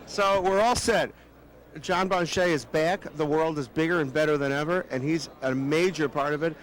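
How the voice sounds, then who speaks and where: A man's voice: on the high side, normal speed, a little hiss or hum. A man hosting casual on-location interviews at a tasting event, jovial and chatty, often stumbling and restarting his sentences. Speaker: host.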